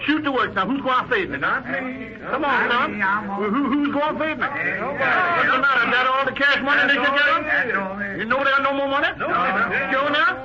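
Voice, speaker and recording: High, hollering dice gambler. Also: male voice, animated, measured pace, long pauses. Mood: exuberant, cocky. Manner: rhythmic chanting, hollering. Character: boisterous, cocky craps gambler calling the dice. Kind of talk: 1930s radio drama acting. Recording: dull, faintly noisy 1930s radio recording.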